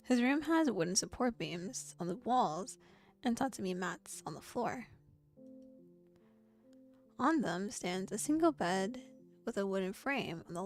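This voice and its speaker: A young adult woman streaming, narrating a visual novel aloud and breaking into excited, laughing reactions. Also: mid-range voice. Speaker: VTuber streamer.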